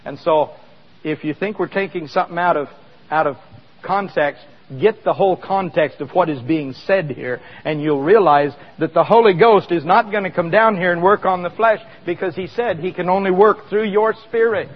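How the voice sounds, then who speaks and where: A fairly high-voiced man preaching in a church hall, talking to the congregation like a conversation and tossing questions at them. His voice rings with a long hall echo, and the recording sounds slightly dull and muffled. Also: normal speed. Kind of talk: sermon with live demonstration.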